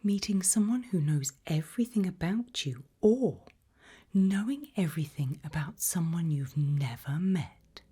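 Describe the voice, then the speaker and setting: A woman whispering in a breathy, hushed and deliberate ASMR style, putting questions to the listener. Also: fairly low pitch, expressive. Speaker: ASMR host.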